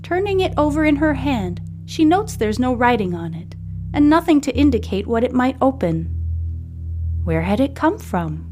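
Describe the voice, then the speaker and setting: A woman's voice: high voice, sing-song, normal speed, warm tone, soft background music. A woman hosting and narrating a scripted story, reading a book aloud.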